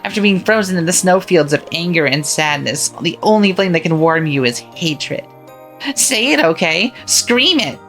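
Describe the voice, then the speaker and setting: A woman's voice: lowish voice, expressive, normal speed, fairly bright tone, soft background music. A woman voicing a cruel cackling witch. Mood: menacing. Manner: mocking, taunting, frequent cackling laughter. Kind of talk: visual novel voice acting.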